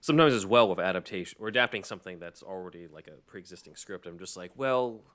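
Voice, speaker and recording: Low-voiced man hosting a podcast. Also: expressive, quick pace, a bit bright. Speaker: podcast host. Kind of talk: casual podcast discussion of a script.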